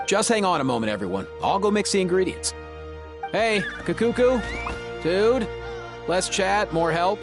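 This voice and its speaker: A man's voice: sits high, lively intonation, moderate pace, over music. A man voicing a kindly, earnest young healer in a video game. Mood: busy. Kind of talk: video game character acting.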